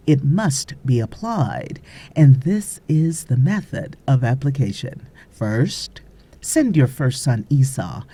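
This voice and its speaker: A woman reads as an audiobook narrator with a slow, careful delivery. Her tone is mellow and her pitch moves expressively across phrases. She sounds deliberate and instructive, leaving pauses between short groups of words.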